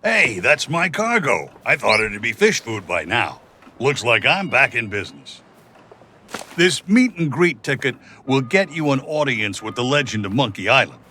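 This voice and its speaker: An actor voicing a boastful, villainous demonic talking skull in a video game, theatrical and grandiose in manner. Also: male voice, medium pitch, sing-song, moderate pace, sharp tone, slight background noise. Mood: sly, pleased. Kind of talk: video game character acting.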